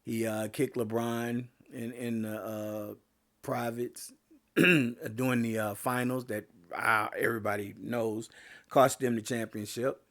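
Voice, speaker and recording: Guy doing a sports podcast, kinda hoarse, lots of uhs and restarts. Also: medium pitch, steady tone, slow pace, a bit bright. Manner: rambling, many fillers and restarts. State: voice getting hoarse. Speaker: podcast host. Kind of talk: casual sports talk.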